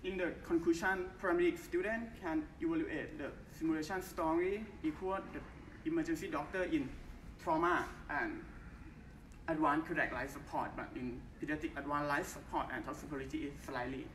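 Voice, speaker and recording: A young man from Thailand with a Thai accent, giving a formal research talk in careful, halting English at a conference. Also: a little high, fairly even intonation, fairly bright tone. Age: young adult. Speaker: conference presenter. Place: large bright conference hall. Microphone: far camera, no mic. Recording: echoey hall from far off, faint hiss.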